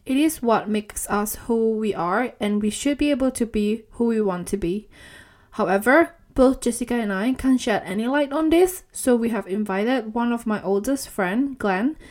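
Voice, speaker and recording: A woman's voice: fairly high pitch, moderate pace, darkish tone. A woman hosting a podcast interview, giving prepared introductions and questions. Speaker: podcast host.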